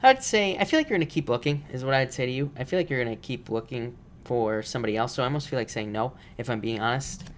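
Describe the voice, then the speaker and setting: Man with an allergy-roughened voice. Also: mid-range voice, lively intonation, fast, hardly any pauses, a little hiss or hum. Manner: casual, repetitive, many fillers. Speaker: tarot reader. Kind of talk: live-stream tarot reading.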